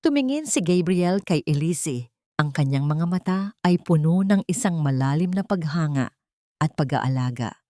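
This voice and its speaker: Low-voiced woman narrating a dramatic story. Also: expressive, normal speed, warm tone, no echo, clean studio sound. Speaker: narrator of love stories.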